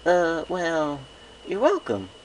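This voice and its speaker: A man acts out an earnest little filly pony, pitched well up from an adult voice. The delivery is emphatic, folksy and childlike, swinging eagerly through a storybook tale. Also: huge pitch swings, takes it slowly, slight background noise, full character voice.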